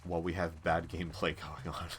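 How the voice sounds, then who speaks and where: A man streaming a game, chatting casually with a stuffy, congested nasal voice and a rambling, relaxed way of talking. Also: low-pitched, brisk, a little hiss or hum. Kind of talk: casual chat while playing a game on a live stream.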